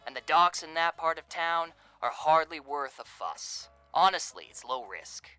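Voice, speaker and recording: An actor playing a slangy, falsely genial and menacing 1930s crime boss in a radio drama. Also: male voice, on the high side, big pitch swings, measured pace, sharp tone, clear, resonant tone, no echo, faint music behind, exaggerated voice. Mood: businesslike, dismissive. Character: smooth, menacing male crime boss of Depression-era New York.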